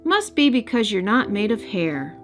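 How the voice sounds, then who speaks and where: An earnest, gentle little boy from a storybook, voiced by a woman narrator, thinking aloud thoughtfully. Music plays behind the voice. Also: mid-range voice, sing-song, normal speed, close to an everyday voice. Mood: apologetic. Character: earnest, inventive little boy fixing a flower.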